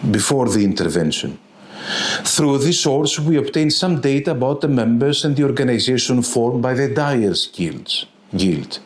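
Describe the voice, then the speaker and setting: A man giving a formal, deliberate academic lecture, reading from a script and quoted passages, now and then stumbling over the text. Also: mid-range voice. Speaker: lecturer presenting an online course module. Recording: roomy, echoing voice recording.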